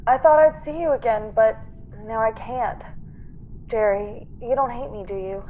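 Casting: actress as doomed young heroine, high voice, tender, halting, earnest radio melodrama, slight noise. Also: female voice, phone-quality sound, natural voice. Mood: tender, confessing. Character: doomed young woman, tender, tearful, brave stowaway. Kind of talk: classic radio drama acting.

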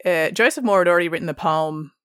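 A woman's voice: fairly low pitch, fast talker, a bit bright, smooth, dry recording, clean studio sound. A woman co-hosting, telling a playful comic story and chatting about music, laughing often. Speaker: podcast co-host.

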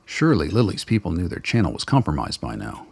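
A man narrating a radio drama in steady, close third-person storytelling. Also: deep voice, quick pace, slight background noise. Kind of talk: scripted narration.

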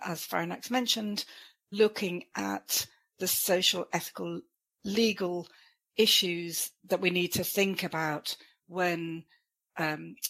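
A woman professor guests on a podcast with a fairly low voice. She explains her work briskly and articulately, dropping in the odd filler. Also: fairly bright tone. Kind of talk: podcast interview.

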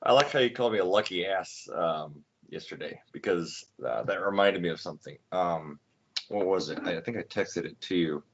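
A low-pitched young man in his late twenties talks with a friend, rambling and restarting his sentences with plenty of fillers. The recording sounds dull and lo-fi. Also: normal speed, a little hiss or hum. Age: 20s. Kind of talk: casual chat.

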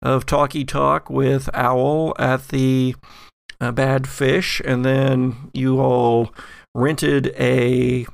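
A man's voice: medium pitch, little pitch movement, extremely slow, creaky, no room sound, clean studio sound. A man narrating as game master and podcast host, shifting between theatrical storytelling and casual asides. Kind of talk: recapping and running a tabletop game.

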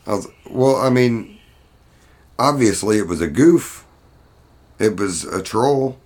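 A man's voice: on the low side, lively intonation, slow pace, a little mellow, pitched above the performer's own voice. A man voicing an opinionated, grumbling male buddy in casual podcast banter.

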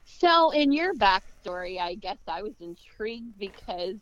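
Animated woman hosting an interview. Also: mid-range voice, a little slow, no echo, poor audio. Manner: chatty, animated questioning. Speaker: interview host.

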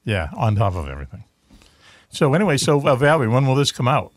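A man's voice: mid-range voice, very animated, quick pace, no echo. A man with a Boston accent hosting a talk show, chatting in a rambling way with lots of fillers and restarts. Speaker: radio show host. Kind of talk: casual talk show chat.

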